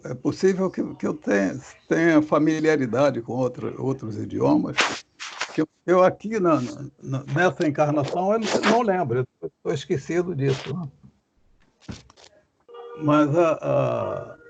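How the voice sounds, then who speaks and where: Gravelly guy talking slow and halting on a muffled video call, keeps restarting, voice a bit high for a man. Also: lively intonation, slight background noise. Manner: halting, searching for words with many restarts. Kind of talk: casual religious study discussion. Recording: dull lo-fi call audio.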